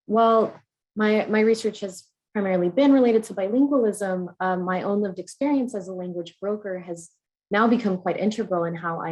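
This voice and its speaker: A woman's voice: on the low side, darkish tone, low-quality recording. Earnest woman giving an academic talk. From United States. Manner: measured, earnest, with frequent ums and uhs. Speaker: conference speaker.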